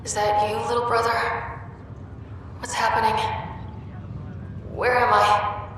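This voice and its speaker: A woman playing a dazed young woman in a video game, groggy, halting and bewildered, through an echoing mind-link effect. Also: a little high, level tone, fairly bright tone. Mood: confused, anxious. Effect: echoing mind-link reverb. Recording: heavily echoing, distant, faint noise.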